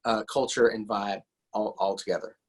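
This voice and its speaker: A man's voice: fairly low pitch, fairly even intonation, normal speed, slightly rough. Enthusiastic man hosting a casual interview. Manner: enthusiastic, encouraging. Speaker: interview host.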